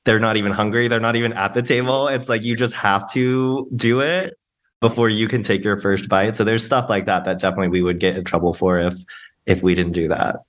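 A man co-hosting a podcast, asking questions in a relaxed, easygoing way. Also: on the low side, fairly quick. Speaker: podcast co-host. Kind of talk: casual interview conversation.

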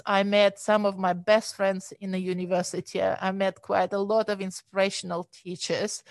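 This is a Ukrainian-accented woman in her forties, speaking earnestly and reflectively about her path into medicine. Her voice sits at a middle pitch and sounds dry and close, with no room echo. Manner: earnest, reflective, non-native phrasing. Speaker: emergency physician. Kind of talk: personal story on a podcast.

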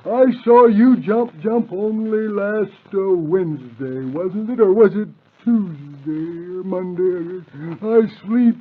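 Drowsy lion, high-pitched, very slow, rambling, befuddled, tinny old radio recording. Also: male voice. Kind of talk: old-time children's radio acting.

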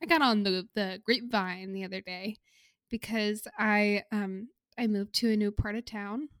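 A woman co-hosting a podcast in casual chat, telling stories in a halting, amused way. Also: mid-range voice, fairly even intonation, fairly bright tone, no room sound, clean studio sound. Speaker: podcast co-host.

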